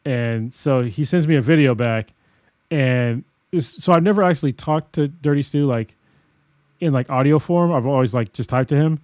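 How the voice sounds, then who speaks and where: A man's voice: mid-range voice, normal speed, a little mellow, dry recording, clean studio sound. An American man co-hosting a podcast, telling stories in a rambling way with lots of fillers and laughs. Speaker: podcast co-host. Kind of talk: casual chat.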